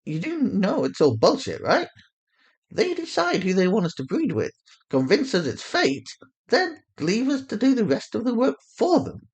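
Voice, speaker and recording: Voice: medium pitch, wildly swooping pitch, moderate pace, a little mellow, breathy edge, voice pushed up, heavily acted. A performer voicing a defiant, pleading young woman held captive in an audio drama, urgent and argumentative. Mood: bitter, insistent. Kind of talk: acted audio fiction.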